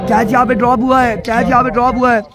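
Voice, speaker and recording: A man with a strained, hoarse voice calls a local cricket match in a very high, excitable register, with a Pakistani lilt. He chats loosely with viewers between deliveries. A loud crowd roars behind him at the outdoor ground. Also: fast. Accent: Pakistani Hindko-Urdu. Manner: excitable, chatty, reads out viewer comments. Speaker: cricket commentator. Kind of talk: live tape-ball cricket commentary.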